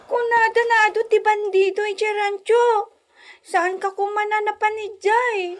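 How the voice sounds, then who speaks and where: An actress playing a whiny, affectionate little child with a Filipino accent in a radio comedy-drama, pleading in drawn-out phrases. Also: female voice, very high voice, level tone, very noisy.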